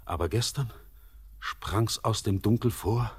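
A husky, haunted young miner. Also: male voice, fairly low pitch, a little slow. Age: young adult. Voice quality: hushed, anguished. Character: haunted young male miner, torn and feverish. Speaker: Elis, the miner. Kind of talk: classic radio play acting.

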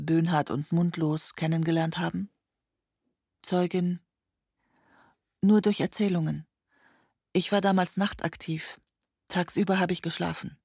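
A woman's voice: on the low side, dark tone, slightly rough, no room sound, clean studio sound. An actress playing a laconic young woman giving matter-of-fact court testimony in a documentary radio play. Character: young woman witness, laconic daughter of holidaying family.

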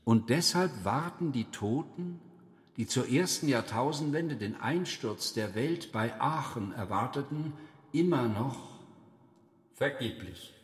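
A man recites literary prose slowly at a medium pitch. His voice is washed in echo with a long hall-like reverb. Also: animated.

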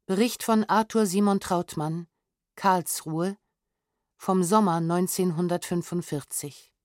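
A woman narrates in with a fairly low voice. Her delivery is measured, sober and precise, as in a documentary audiobook. The sound is dry and close, recorded on a studio microphone. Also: fairly even intonation, darkish tone, no room sound. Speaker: narrator reading historical documents.

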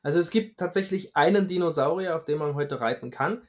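A man's voice: a little high, fairly quick. A man speaking as an expert guest, explaining things informally on a slightly dull recording.

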